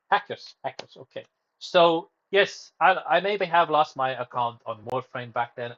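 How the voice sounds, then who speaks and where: Man, small streamer talking solo; wandering, self-correcting delivery at a middle pitch, slightly muffled audio. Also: fairly bright tone. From Romania. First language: Romanian. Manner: rambling, self-correcting, drifts off topic. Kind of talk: casual solo livestream chat.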